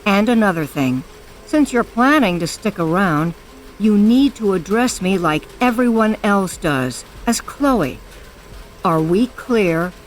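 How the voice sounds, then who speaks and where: A woman's voice: medium pitch, lively intonation, slow, slight background noise. An actress playing a guarded, stern woman hiding from her past in an audio drama, speaking tersely and firmly.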